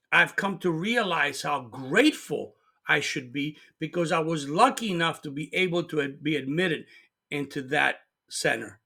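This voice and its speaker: Middle-aged guy giving a pep talk, bright forceful voice, kinda high. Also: vocal fry. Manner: earnest, emphatic, repeats words when stressing. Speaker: host. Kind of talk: motivational talk to camera.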